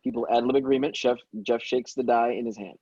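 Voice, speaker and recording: A man's voice: medium pitch, steady tone, brisk, mellow, dry recording. A man reading stage directions as narrator in an amateur table read, stumbling now and then and correcting himself. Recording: dull, dry lo-fi microphone.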